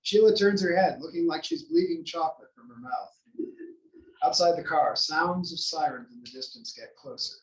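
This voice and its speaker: A man's voice: on the high side, moderate pace. A man narrating stage directions from a script at a table read. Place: library room, table read. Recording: echoey library room, distant mic.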